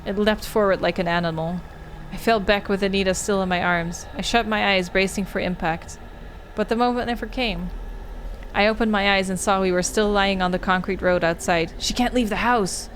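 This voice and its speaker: A woman game streamer on a livestream playthrough, reading and talking through a visual novel in an expressive storytelling way. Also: mid-range voice, moderate pace, a little hiss or hum.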